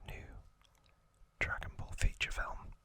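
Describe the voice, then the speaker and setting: A man hosting ASMR trivia in a breathy, unvoiced whisper, speaking in drawn-out phrases with frequent pauses, close to the microphone. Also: sharp tone, no echo. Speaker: ASMR host.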